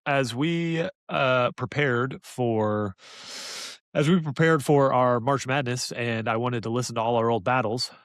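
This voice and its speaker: A man's voice: medium pitch, a little slow, clean tone, no echo, clean studio sound. A man co-hosting a podcast in casual review discussion, stressing points by repeating his phrases. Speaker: podcast co-host.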